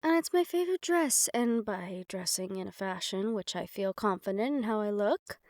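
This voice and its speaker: A woman's voice: medium pitch, animated, normal speed, a little mellow, dry recording, clean studio sound, close to an everyday voice. Woman acting as a poised, slightly anxious mafia second-in-command, coaxing, audio roleplay. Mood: pleased, nervous. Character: poised, anxious female right-hand assistant to mafia boss.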